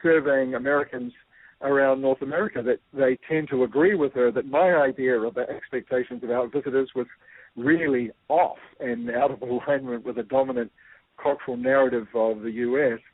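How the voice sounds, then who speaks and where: A man's voice: mid-range voice, fairly even intonation, a little fast, no echo. A man with a New Zealand accent, a guest explaining ideas through a personal story, thoughtful and full of fillers. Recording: tinny phone-like line.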